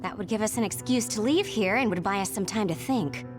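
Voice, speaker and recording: A woman playing a shrewd, level-headed soldier in an anime-style game dub, with a measured, analytical delivery. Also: mid-range voice, natural voice. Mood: cool reasoning. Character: shrewd, calculating, level-headed young soldier, coolly pragmatic. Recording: loud music behind.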